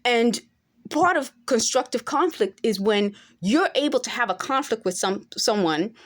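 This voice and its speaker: A woman's voice: a little high, lively intonation, unhurried. A woman in her forties talking straight to camera in an unscripted monologue, earnest and insistent, now and then rising to emphatic peaks. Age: 40s. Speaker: vlogger.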